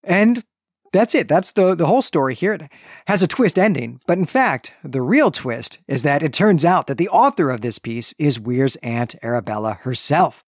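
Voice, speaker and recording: A man's voice: on the high side, lively intonation, normal speed, dry recording, studio quality. A man co-hosting a podcast, recapping and discussing a story in a conversational, storytelling way with rhetorical asides. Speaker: podcast co-host.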